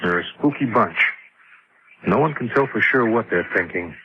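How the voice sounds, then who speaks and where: Wary camp worker, very noisy recording. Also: male voice, fairly low pitch, expressive, normal speed. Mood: uneasy. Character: wary, uneasy man helping run a relief camp. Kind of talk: old-time radio drama acting. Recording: muffled old radio with heavy noise.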